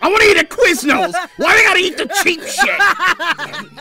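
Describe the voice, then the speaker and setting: Casting: bombastic, petty mad scientist villain, high theatrical rant, comedy cartoon dub with prominent music. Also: male voice, moderate pace. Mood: irritated, ranting. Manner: ranting, theatrical, foul-mouthed. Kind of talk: improvised comedic cartoon game fandub.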